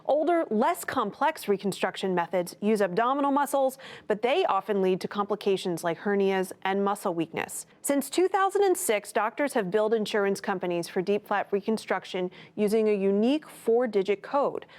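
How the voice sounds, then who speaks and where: Woman reporter reading the news, steady and clear, mid-pitched voice. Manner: measured, clear newsreader delivery. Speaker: news correspondent and interviewer. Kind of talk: scripted news report and interview.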